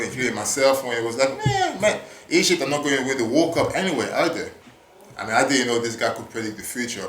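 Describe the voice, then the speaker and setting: A man in his thirties, raised in France, hosting a casual podcast monologue in an animated, hyping way with casual swearing, recorded at a gym. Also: mid-range voice, echoey room, slight background noise, poor audio. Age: 30s. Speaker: podcast host. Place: gym at work.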